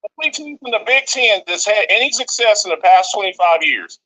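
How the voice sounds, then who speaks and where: A man's voice: high-pitched, animated, brisk, sharp tone, rough recording. A co-host from Maryland debating sports, teasing and tripping over his words.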